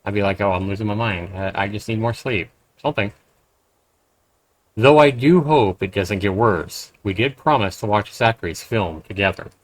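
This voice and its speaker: A male game streamer with a fairly low voice, recorded dry and close. He reads story text aloud and breaks off into blunt, sweary, casual commentary. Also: expressive, moderate pace, no room sound, rough recording. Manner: blunt, profane.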